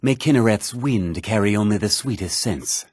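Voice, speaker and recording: A man's voice: fairly low pitch, normal speed, a little mellow, breathy edge, dry recording, studio quality. A man playing a devout, earnest pilgrim who worships nature, speaking florid, theatrical video game dialogue. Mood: hopeful, gentle.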